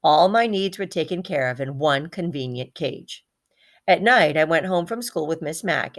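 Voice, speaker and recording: A woman reading a children's story aloud in an expressive, animated manner. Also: sits low.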